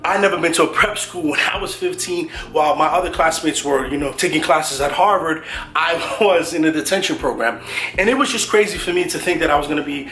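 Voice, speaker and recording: Young new york guy, kinda high voice, streetwise pep talk, keeps saying "right?". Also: brisk, pushed and bright, a little hiss or hum. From New York City. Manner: casual, streetwise, says "right?" often. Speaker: channel host. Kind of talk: motivational advice talk. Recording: echoey room with faint music.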